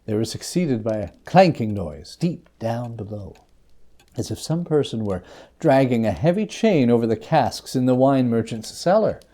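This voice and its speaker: A man reading a classic ghost story aloud in a theatrical, expressive manner with emphatic phrasing. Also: medium pitch. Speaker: reader.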